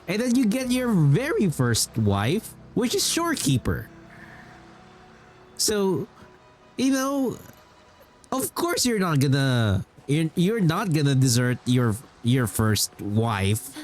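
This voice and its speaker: A man streams casually about games with music playing behind him. His talk rambles along in an informal way, and he often repeats a phrase to drive it home. His voice sits at an ordinary mid-range pitch for a man. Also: darkish tone. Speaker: game streamer.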